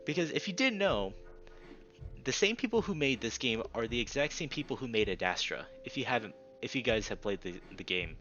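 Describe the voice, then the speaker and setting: A man reading a visual novel aloud as a streamer, breaking off into casual, excitable reactions. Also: mid-range voice, fairly quick, muffled recording. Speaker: let's play streamer, narrator. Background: background music.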